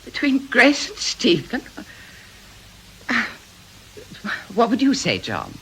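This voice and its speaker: An actress playing an anxious, worried wife who answers hesitantly and haltingly in a radio crime drama. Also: female voice, a little high, huge pitch swings, a bit bright, a little hiss or hum, full character voice.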